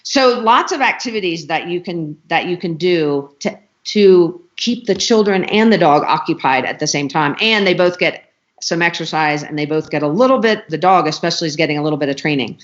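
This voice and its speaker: Middle-aged woman, lower-pitched, conversational panel expert explaining things practically; slightly muffled online-call sound. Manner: conversational, practical, enumerating points. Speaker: dog trainer and guest speaker.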